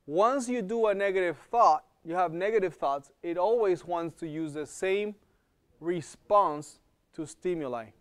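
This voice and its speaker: A man's voice: high voice, measured pace. A man with an accent giving a live health lecture to a class, talking in a conversational way, often checking in with "okay?" and repeating words as he thinks. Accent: non-native English.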